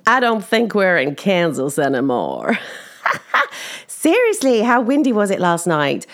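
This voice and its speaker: A woman chatting casually to listeners in a personal update, slightly croaky from lack of sleep, laughing as she tells embarrassing stories. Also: medium pitch, huge pitch swings, unhurried. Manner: chatty, self-deprecating, laughs while telling stories. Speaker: vlogger.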